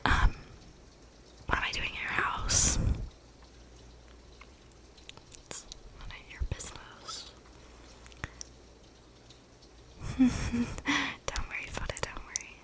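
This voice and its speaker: A woman's voice: measured pace, close to an everyday voice. A woman playing an eccentric, chatty young vampire in a whispered, slangy ASMR roleplay, breathing close to the microphone. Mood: casual, sly. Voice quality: breathy whisper with creaky edges. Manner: rambling, casual slangy delivery with many likes. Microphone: close microphone with audible breathing.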